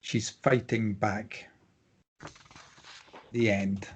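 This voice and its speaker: A man's voice: on the low side, flat delivery, extremely slow, background noise. A man reading out screenplay stage directions as scripted narration.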